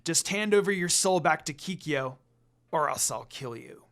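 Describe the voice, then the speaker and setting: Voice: mid-range voice, lively intonation, moderate pace, creaky, a bit bright. A storyteller voicing a hostile, menacing young half-demon man who growls threats in a fan fiction reading. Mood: smug threat.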